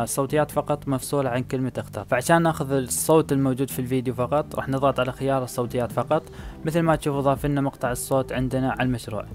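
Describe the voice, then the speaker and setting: A man giving a step-by-step screen tutorial, with frequent "aah" fillers. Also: medium pitch. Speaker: video editing tutor. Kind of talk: screen-recorded app tutorial.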